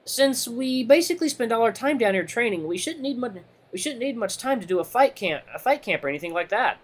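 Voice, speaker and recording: A man's voice: very high-pitched, big pitch swings, fairly quick, pitched above the performer's own voice, full character voice. A man voicing a brash, friendly male animal fighter in an audio story, boisterous and slangy. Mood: confident.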